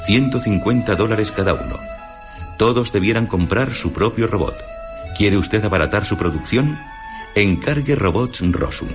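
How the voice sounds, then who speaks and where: A low-pitched man with a Castilian accent narrates a scripted radio program. He is formal and emphatic with lively intonation, and music plays clearly behind him. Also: normal speed. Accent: Castilian Spanish. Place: radio studio. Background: clear background music.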